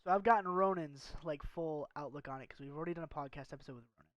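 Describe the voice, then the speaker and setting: A man's voice: a little high, rapid-fire, a bit bright, no echo. A man hosting a casual esports podcast, laid-back and slangy, calling people dude and bro. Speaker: podcast host.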